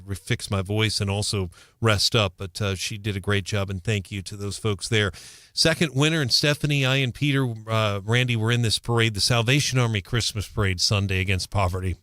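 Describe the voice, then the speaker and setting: Low-voiced man chatting on morning radio. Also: fairly bright tone, no room sound, clean studio sound. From St. Louis, Missouri. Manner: rambling, restarting sentences, chatty. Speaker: radio news reporter. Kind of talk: casual morning radio chat.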